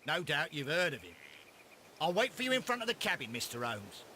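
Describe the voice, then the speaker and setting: A man playing an officious but polite police inspector in a video game, formal and brisk. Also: fairly high pitch, wildly swooping pitch, noisy background. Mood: polite, reassuring. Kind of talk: scripted game dialogue. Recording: game music behind voice.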